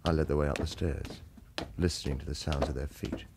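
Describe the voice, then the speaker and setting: A man's voice: a bass voice, fairly even intonation, fairly quick, very noisy. An actor playing a wry, world-weary British retired hitman who also narrates a radio crime drama. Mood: tense, dry. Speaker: lead actor and first-person narrator.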